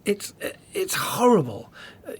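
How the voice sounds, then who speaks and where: A man's voice: sits high, sing-song, a little slow. A man playing a kindly, well-meaning disciple in a radio play, with a friendly, reassuring manner. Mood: anxious, reassuring.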